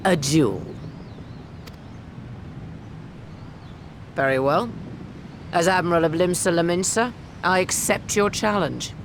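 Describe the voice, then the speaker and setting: A woman's voice: fairly low pitch, moderate pace, a little hiss or hum, natural voice. An actress playing a resolute woman admiral giving a formal, rousing speech in a game cutscene. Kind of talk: video game cutscene acting. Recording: music playing underneath.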